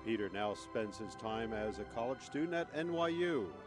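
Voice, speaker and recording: A man acting as the dramatic narrator of a superhero radio play, with emphatic pauses. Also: fairly low pitch, lively intonation, natural voice. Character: dramatic male storyteller introducing a superhero tale. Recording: music bed behind.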